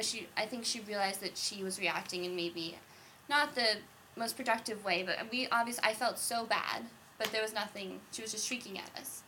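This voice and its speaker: A woman guest on a podcast, telling a story in a casual, animated way and laughing often. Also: medium pitch, slight background noise, low-quality recording. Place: indoors.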